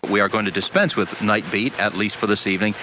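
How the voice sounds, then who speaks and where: American man, talk-radio host, lowish voice, quick delivery, clearly noisy cassette recording. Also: steady tone. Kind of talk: live talk-radio hosting. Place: radio studio. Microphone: studio broadcast microphone.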